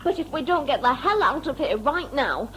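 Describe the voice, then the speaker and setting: A woman's voice: sits high, lively intonation, normal speed, a bit bright, a little hiss or hum, poor audio. A woman playing a feisty, scolding old grandmother in an audio drama, brassy and colloquial. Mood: urgent, defiant. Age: older.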